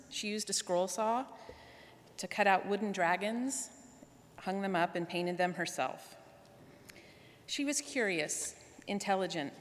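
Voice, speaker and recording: A woman delivering a prepared tribute speech to a congregation. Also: medium pitch. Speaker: guest speaker at church. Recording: echoey hall with long reverb.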